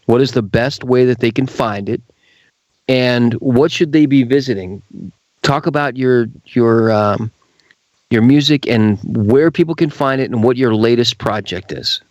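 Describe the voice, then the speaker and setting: A male radio host with a medium-pitched voice talks casually with guests. The recording is dry and a little dull. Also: no echo.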